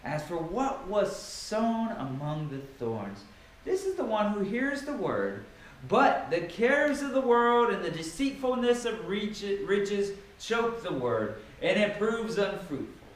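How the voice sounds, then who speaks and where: A man giving a dramatic, emphatic reading of scripture with theatrical pauses. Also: high voice, very animated. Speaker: Bible reader. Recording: very echoey room with faint noise.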